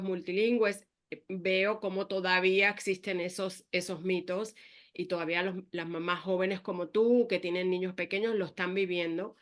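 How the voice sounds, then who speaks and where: A woman in her fifties hosting a conversational podcast. Her voice sits at a mid pitch, and she speaks thoughtfully, pausing on hesitations and slipping English fillers into her Spanish. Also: a bit bright. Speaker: podcast host. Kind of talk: casual conversational interview.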